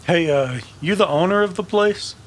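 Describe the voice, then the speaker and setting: An actor playing a polite, hesitant war veteran cowboy in a Western radio drama, with an American accent. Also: male voice, high-pitched, moderate pace. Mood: urgent.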